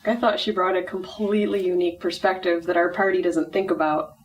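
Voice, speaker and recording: A woman's voice: lowish voice, little pitch movement, a little fast, a little hiss or hum. A woman giving her views casually as a guest in a group conversation. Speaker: guest player on a talk show. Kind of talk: casual chat. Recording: distant from the mic.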